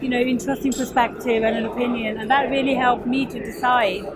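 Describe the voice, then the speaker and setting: A woman politician giving a reflective account in hesitant, measured phrases. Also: fairly high pitch, fairly even intonation. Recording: very noisy location with loud ambience.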